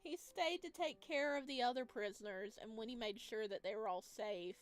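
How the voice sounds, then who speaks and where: A woman's voice: a little high, moderate pace. Woman reacting casually over game music. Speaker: let's play host.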